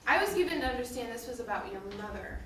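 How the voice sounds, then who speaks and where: A prim female ghost from another century, played by a woman in a drama, high and bright in voice. She scolds indignantly in old-fashioned phrases, heard across an echoing room. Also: sing-song, fast. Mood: stern, puzzled. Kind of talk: theatrical drama acting. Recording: distant, very echoey room, faint noise.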